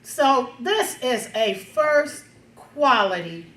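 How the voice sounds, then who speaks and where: A woman's voice: high-pitched, lively intonation, a bit bright. A woman in her seventies with a big voice giving an informal, emphatic and outspoken speech to a gathering. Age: 70s. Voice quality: big, projecting voice. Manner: emphatic, outspoken, with pauses for effect. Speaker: reunion speaker. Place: echoey indoor gathering room. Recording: echoey room.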